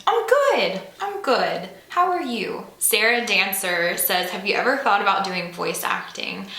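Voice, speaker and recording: A woman's voice: medium pitch, bright, forceful tone, reverberant, poor audio. A young woman, a singer-songwriter, casually answering fan questions in a chatty, self-deprecating way, with plenty of "like" fillers. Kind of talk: casual Q&A chat. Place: home room.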